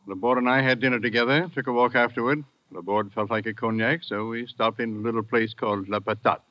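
A man's voice: medium pitch, steady tone, fast talker, natural voice. An actor playing a matter-of-fact businessman who survived a shooting, in an old radio drama. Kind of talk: 1940s radio acting. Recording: dry, dull old radio recording.

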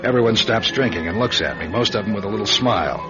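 Low male voice, acted, weary noir narrator, wry and clipped, dull vintage radio sound. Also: quick pace, lightly acted. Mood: grim, wry. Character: world-weary hard-boiled drifter telling his own misadventure. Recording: dull old radio recording, loud music behind.

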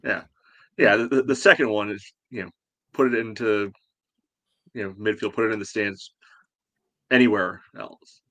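A man co-hosting a soccer fan podcast, talking casually and haltingly with many a "you know". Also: fairly low pitch, steady tone, pushed and bright, no room sound. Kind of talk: casual sports recap discussion.

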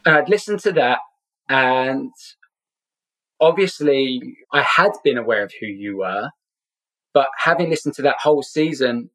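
A man's voice: mid-range voice, animated, a little slow, fairly bright tone, low-quality recording. A middle-aged man from Scotland who grew up in London, speaking with a London accent, tells a personal story earnestly as an interview guest, repeating words for emphasis. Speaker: podcast guest.

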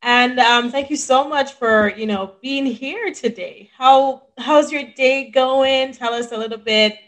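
A woman's voice: sits high, unhurried, a bit bright. A woman hosting a podcast interview, upbeat and casual, with many fillers. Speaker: podcast host.

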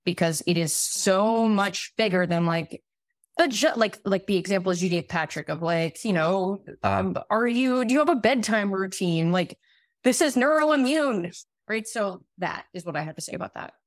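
A raspy-voiced woman in her thirties, a guest physician explaining at length in casual podcast talk, with many fillers and restarts. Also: mid-range voice, animated, moderate pace, no echo, clean studio sound. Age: 30s.

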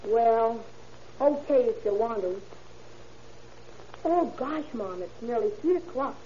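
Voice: medium pitch, expressive, slow, mellow. An actor playing an earnest, eager schoolboy son in an old-time radio drama, with boyish folksy slang. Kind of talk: 1940s radio acting. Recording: muffled, hissy old radio recording.

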